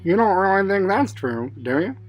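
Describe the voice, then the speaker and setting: A man's voice: high-pitched, big pitch swings, moderate pace, highs cut off, faint music behind. A man voicing a stern, incredulous school disciplinarian with a formal manner in a comedy puppet show. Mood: stern, baffled.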